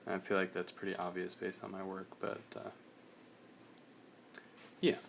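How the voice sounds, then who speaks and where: A young man, slightly hoarse, chatting casually in a relaxed, rambling way while he streams a drawing session. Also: low-pitched, a little slow, slight background noise. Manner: relaxed, rambling, many fillers.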